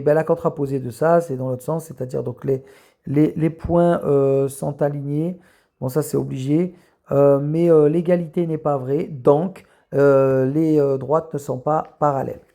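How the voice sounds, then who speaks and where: A math teacher walks students through a correction, step by step, with a gravelly, mellow voice. The talk is filled with hesitations and restarts, and it slows down whenever the teacher writes. It is recorded on a camera aimed at the page. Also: mid-range voice. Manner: explains step by step with many fillers; slows down to write while talking. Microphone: camera filming a written page.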